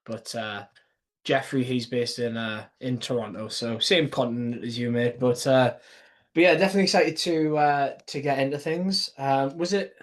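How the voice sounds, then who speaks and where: A man's voice: mid-range voice, moderate pace. A British man in casual, slangy conversation, dropping in "like" and "mate" as he talks through sales technique. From United Kingdom. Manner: informal, slangy, says "like" and "mate". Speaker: sales closer reviewing his old call. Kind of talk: casual commentary and sales talk.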